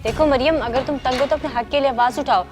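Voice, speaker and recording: Actress as a showy, high-voiced meddling friend. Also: female voice, quick pace, a little mellow, soft background music, natural voice. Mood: needling. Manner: blunt, showy, needling. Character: boastful, meddling, newly married young woman friend. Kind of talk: acted moral-story drama dialogue.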